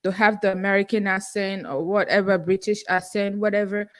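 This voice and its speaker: A woman's voice: medium pitch, fairly even intonation, fairly quick. Podcast host, young woman, heavy Nigerian accent, earnest solo Q&A with lots of "um" and "you know.". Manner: earnest, self-encouraging, frequent fillers. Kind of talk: solo livestream Q&A.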